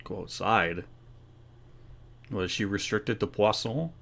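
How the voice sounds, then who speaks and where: A man's voice: lowish voice, takes it slowly, vocal fry, a bit bright. A man giving casual, laid-back, joking commentary as a gaming streamer during a playthrough.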